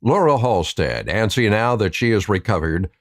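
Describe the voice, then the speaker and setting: A man's voice: fairly low pitch, lively intonation, normal speed. A man reading scripted narration for a Western radio drama.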